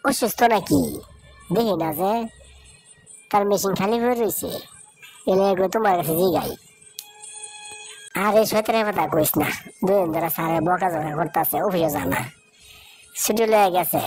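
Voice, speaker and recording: A woman's voice: mid-range voice, moderate pace, pauses often, natural voice. A woman voicing a chatty young working woman in a village cartoon, with a familiar manner and rural Bangladeshi regional Bengali. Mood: concerned gossiping. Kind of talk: cartoon dialogue in colloquial dialect. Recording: music behind the voice. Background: music.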